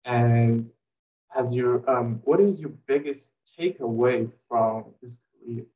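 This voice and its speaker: A man's voice: mid-range voice, takes it slowly, dark tone, recorded from a distance, dull audio. A young man hosting an interview over a video call, polite and a little hesitant when he asks his questions. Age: young adult. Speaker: host. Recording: echoey room, off-mic.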